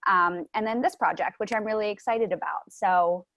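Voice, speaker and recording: A young American actress introducing herself in casual conversation. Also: female voice, mid-range voice, normal speed, a bit bright, no echo. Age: young adult.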